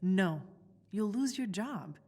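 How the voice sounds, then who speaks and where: Serious young woman, steady n determined, dark mellow voice, lots of reverb, game cutscene. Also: medium pitch, takes it slowly. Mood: concerned. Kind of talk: naturalistic video game voice acting. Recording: long reverberant room echo.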